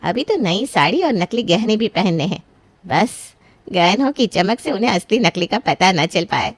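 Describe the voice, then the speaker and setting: Middle-aged bride's mother, courteous and artful, story drama, acted by a woman, mid pitch. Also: big pitch swings, normal speed, no room sound. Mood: defensive.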